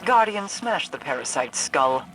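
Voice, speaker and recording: An actor playing an aloof, secretive, commanding queen in a video game, measured, guarded and imperious. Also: mid-range voice, big pitch swings, low-quality recording. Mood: menacing. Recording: music underneath. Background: music.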